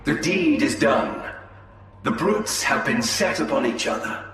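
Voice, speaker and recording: An actor playing a cunning, haughty alien elf spymaster in a video game, speaking in a measured, formal, sly manner. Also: male voice, medium pitch, animated, a bit bright. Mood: grave, scheming. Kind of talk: video game cutscene acting. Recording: cavernous long reverb, distant, faint music.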